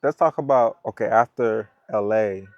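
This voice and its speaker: A man hosting an interview outdoors, relaxed with loose, easygoing phrasing and constant mm-hmms. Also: lowish voice, normal speed, no echo, dull audio. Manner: laid-back, loose phrasing, many mm-hmms. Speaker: interview host. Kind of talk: casual interview. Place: outdoors in a vineyard.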